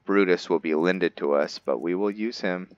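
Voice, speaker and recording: A man's voice: sits low, normal speed, muffled recording. A man narrating his video game roleplay casually, thinking aloud in fragments. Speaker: gaming video host roleplaying a character.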